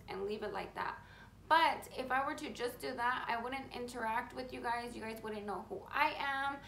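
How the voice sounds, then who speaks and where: A young woman with a deep, raspy voice, talking openly to camera from her bedroom in a casual, rambling way with lots of "you know". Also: a bit bright, echoey room, a little hiss or hum. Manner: open, rambling, heartfelt, many fillers like "you know". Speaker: beauty influencer. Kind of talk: candid sit-down vlog to camera. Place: bedroom at home, indoors. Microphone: camera in front at close range.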